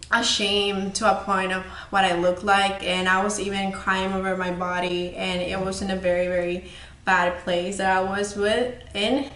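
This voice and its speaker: A woman's voice: mid-range voice, a bit bright. A woman in her twenties from El Salvador, giving a candid, earnest personal motivational talk. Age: 20s. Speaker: speaker. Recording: echoey room.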